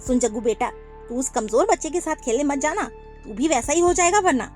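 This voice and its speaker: A vain mother cuckoo, put on by a woman, brags and bosses in a cartoon tale. Her voice is high and swoops a lot, with music in the background. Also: lively intonation, fairly quick, a little mellow. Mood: bossy. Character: proud, bossy mother cuckoo.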